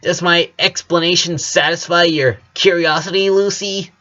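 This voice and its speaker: A man's voice: sits high, steady tone, moderate pace, pushed and bright, highs cut off, pitched above the performer's own voice. A man voicing a defensive, bashful sporty tomboy sister in a story reading. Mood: forced calm. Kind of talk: storybook character voice.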